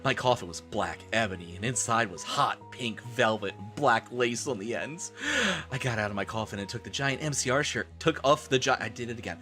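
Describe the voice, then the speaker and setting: A man's voice: fairly low pitch, a little fast, bright, forceful tone, faint music behind. A man live streaming a dramatic reading of fan fiction, breaking off into exasperated asides.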